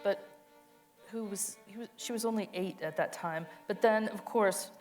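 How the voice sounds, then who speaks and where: A woman with a mid-pitched voice reads a personal monologue aloud as a dramatic piece. She tells it tenderly and with emphasis, over music in the background. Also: moderate pace, darkish tone. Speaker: co-reader of a monologue. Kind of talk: dramatic reading of an autobiographical monologue.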